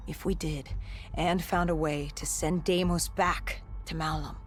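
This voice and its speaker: Haunted, low-voiced female soldier. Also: steady tone, a little slow, a little hiss or hum. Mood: haunted, grim. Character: haunted female soldier recounting a zombie apocalypse. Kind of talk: dramatic first-person story narration. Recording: music behind the voice.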